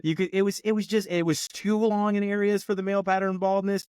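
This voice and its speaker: A man's voice: high-pitched, flat delivery, fairly quick, darkish tone, smooth, no room sound, clean studio sound. A man co-hosting a movie review podcast, rambling with lots of fillers and laughing often in casual chat. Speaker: podcast co-host.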